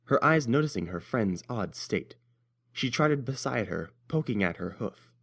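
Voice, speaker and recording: A man's voice: mid-range voice, animated, a little slow, gravelly, a bit bright. A man reading scripted story narration as the narrator.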